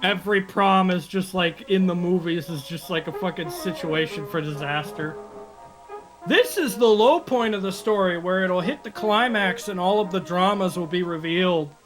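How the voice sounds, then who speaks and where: A man's voice: sits high, moderate pace, music in the background, poor audio. A man co-hosting a casual video reaction, joking crudely with lots of swearing. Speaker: co-host.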